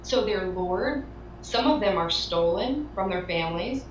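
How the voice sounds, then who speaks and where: A woman's voice: mid-range voice, lively intonation, unhurried. A woman giving earnest, emphatic testimony as an interview guest. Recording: echoey, distant, dull, faintly noisy.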